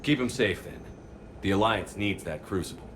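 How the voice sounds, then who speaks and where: An actor playing a resolute military starship commander in a video game, with a terse, commanding way of talking. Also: male voice, on the low side, moderate pace, background noise, close to an everyday voice. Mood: firm, controlled. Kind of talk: video game cutscene acting. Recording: music behind the dialogue.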